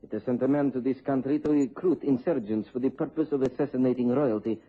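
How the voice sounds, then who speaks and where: An actor playing a formal, earnest mid-European gentleman in a radio drama, with a Central European accent. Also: medium pitch, steady tone, lightly acted. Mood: urgent, grave. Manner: formal, deliberate wording. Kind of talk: BBC radio drama acting. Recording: muffled tinny old radio recording.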